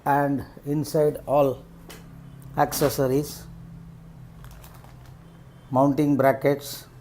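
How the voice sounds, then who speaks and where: Middle-aged man, Indian accent, medium pitch, halting phrases, informal unboxing vlogger. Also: little pitch movement, darkish tone, slight background noise. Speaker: vlogger reviewing rider gear. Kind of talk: unscripted unboxing commentary.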